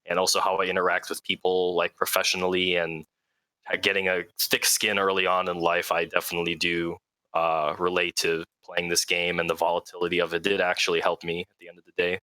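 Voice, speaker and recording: Deep, flat-voiced young man. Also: a little fast, clean tone, no echo, rough recording. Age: 20s. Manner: reflective, lots of fillers. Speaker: guest fleet commander. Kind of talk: casual interview talk.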